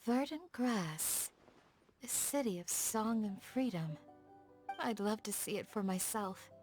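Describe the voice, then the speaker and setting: A woman voicing a gentle, dutiful young desert village guardian in a video game, speaking in a soft, measured, courteous way. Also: medium pitch, lively intonation, soft background music. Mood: calm, welcoming. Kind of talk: scripted game dialogue, storytelling.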